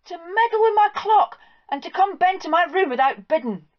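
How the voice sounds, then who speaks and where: A woman voicing a fussy, excitable old farm wife in a Scots accent, shrill and flustered as she scolds. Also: normal speed. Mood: indignant. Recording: dull lo-fi recording.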